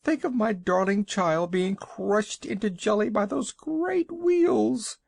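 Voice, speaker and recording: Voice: medium pitch, lively intonation, slow pace, creaky, a little mellow, no echo, pitched above the performer's own voice, exaggerated voice. A reader voicing a panicky village woman who wails and sobs over tiny mishaps. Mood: frantic. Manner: wailing, sobbing, frantic.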